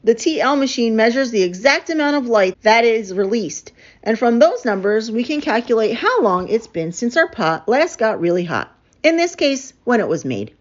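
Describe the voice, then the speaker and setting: Woman reading as eager, lively young archaeologist man. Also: fairly high pitch, moderate pace, dull audio. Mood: keen, explaining. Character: eager young male archaeologist, team's explainer.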